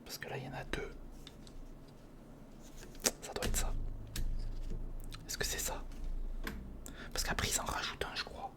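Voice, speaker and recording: Guy whispering asmr style, breathy, chatting casually to himself while he builds stuff. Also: measured pace, long pauses. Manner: informal, muttering to himself and the viewer, mildly swearing. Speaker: ASMR video creator.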